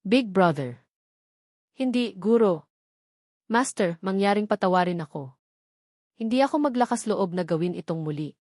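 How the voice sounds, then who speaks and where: A woman's voice: mid-range voice, lively intonation, moderate pace, long pauses, darkish tone, no echo, clean studio sound, close to an everyday voice. Jealous, cowardly fighter read by a woman. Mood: frightened pleading. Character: jealous, cowardly male fighter, threatening then grovelling.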